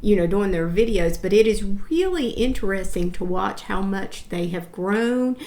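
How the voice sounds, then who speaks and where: A woman's voice: medium pitch, expressive, moderate pace, darkish tone. A woman co-hosting a reaction channel, chatting casually and stressing her points firmly.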